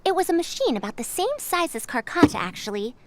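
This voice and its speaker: A woman voicing a bright, analytical young researcher and mechanic in a video game. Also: very high voice, big pitch swings, fast talker, a crowd in the background. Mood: thoughtful, earnest. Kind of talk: scripted game voice acting.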